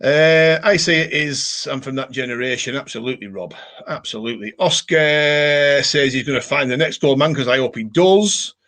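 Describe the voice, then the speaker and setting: Cumbrian male host, casual rambling live chat with viewers, average pitch. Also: normal speed, fairly bright tone. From Cumbria, England. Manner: rambling, chatty, repeats catchphrases. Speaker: livestream host.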